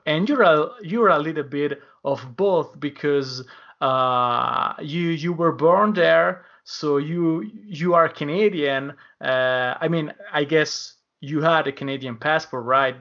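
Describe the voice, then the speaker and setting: A man hosting a podcast interview, speaking in quick bursts with many fillers and grammar. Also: fairly high pitch, a bit bright, lo-fi sound. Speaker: podcast host.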